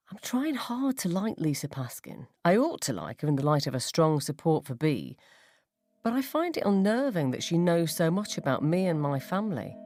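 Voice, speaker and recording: A woman reading a first-person short story for radio in a wry, expressive, sardonic manner. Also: sits low, dry recording. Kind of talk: dramatised first-person literary narration for radio.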